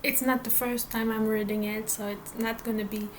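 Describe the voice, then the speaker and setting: A woman's voice: fairly high pitch, flat delivery, moderate pace, a little hiss or hum, poor audio. A woman whose first language is Portuguese, chatting casually to camera about her reading plans, hesitant, with many fillers and restarts. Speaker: book vlogger.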